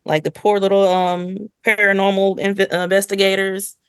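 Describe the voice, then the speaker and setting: A woman hosting a casual podcast review chat, rambling along in an animated way and acting out imagined lines. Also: medium pitch, normal speed, clean tone, dry recording. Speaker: podcast host.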